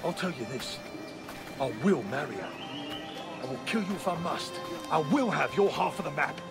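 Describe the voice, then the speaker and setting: An actor playing a greedy, proud, lovesick smuggler in a video game, with a florid, haughty manner. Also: male voice, a little high, expressive, moderate pace, vocal fry. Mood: jealous, threatening. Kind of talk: video game drama acting. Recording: very loud music behind. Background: music.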